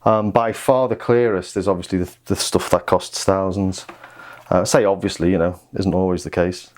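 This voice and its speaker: A man's voice: low-pitched, fairly even intonation, normal speed, darkish tone. A man giving a casual hands-on music gear demo, thinking aloud in an offhand way. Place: home music studio.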